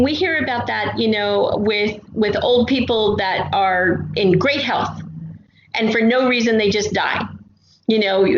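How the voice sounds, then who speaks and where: A woman speaking as an interview guest, explaining her ideas casually and with assurance. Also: medium pitch. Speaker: guest, audio drama writer. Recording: roomy, dull, noisy remote connection.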